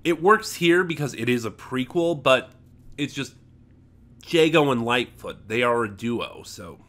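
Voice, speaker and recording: A man giving a casual, unscripted review, speaking emphatically in a halting way, often trailing off and regrouping mid-thought. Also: mid-range voice, expressive, fairly bright tone. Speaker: online reviewer.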